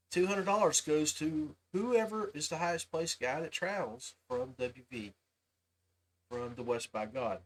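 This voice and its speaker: A man's voice: a little high, fairly bright tone, rough recording. A man hosting a livestream podcast, talking casually and informally to his viewers. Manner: informal, addresses listeners as guys. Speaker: podcast host.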